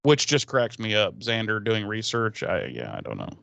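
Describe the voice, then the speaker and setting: A man's voice: lowish voice, wildly swooping pitch, normal speed, gravelly, fairly bright tone, no room sound. A man co-hosting a podcast, recapping an episode in a casual, sarcastic, rambling way with plenty of swearing. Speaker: podcast co-host.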